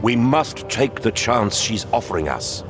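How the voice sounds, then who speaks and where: A wounded old zealot commander for a game cutscene. His very deep voice rises and falls widely as he speaks in stern, formal commands. Game music plays clearly behind him. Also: sing-song, a little slow. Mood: solemn. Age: older. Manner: solemn, commanding, grandly formal speech. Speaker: zealot commander of the vault defenders. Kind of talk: video game cutscene voice acting.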